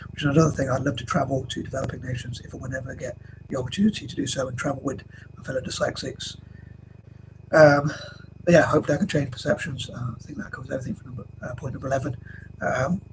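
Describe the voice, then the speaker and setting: Man with a stuffy cold, monotone delivery, hesitant fillers, noisy recording. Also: mid-range voice, fast. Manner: rambling with many fillers and restarts. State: has a cold. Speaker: vlogger. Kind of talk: casual talk to camera. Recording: noisy background hiss.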